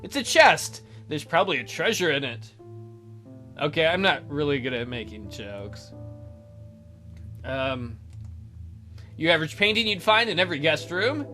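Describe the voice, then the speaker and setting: A man hosts a let's play with casual, sarcastic commentary. His voice is bright and swings around in pitch. Game music runs underneath his wry asides. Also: sing-song, measured pace, pauses often.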